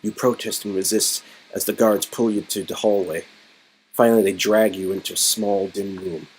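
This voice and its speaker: A man's voice: on the low side, steady tone, normal speed, a little hiss or hum, poor audio. A man reading a story game aloud in a casual, wry way, mixing dramatic narration with informal banter. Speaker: reader performing a story game.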